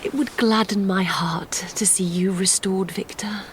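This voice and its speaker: An actress playing a gentle, grieving young gentlewoman in an audio drama, with earnest, formal, literary speech. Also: medium pitch, fairly even intonation, unhurried, a little hiss or hum, natural voice. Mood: tender, sad. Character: sorrowful, gentle young gentlewoman, steadfast in grief.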